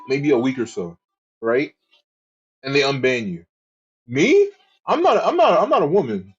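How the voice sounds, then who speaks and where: A man's voice: mid-range voice, slightly rough, lo-fi sound. A man streaming live, chatting casually to his viewers in a rambling, profane way and often repeating himself. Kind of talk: casual livestream chat.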